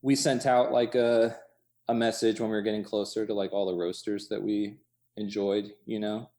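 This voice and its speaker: A man talking casually as an interview guest, in an informal, halting way full of hedging asides. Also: lowish voice, flat delivery, mellow. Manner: informal, halting, many hedging asides, chuckles through speech. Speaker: interview guest, coffee shop co-owner. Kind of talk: casual chat. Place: inside his cafe.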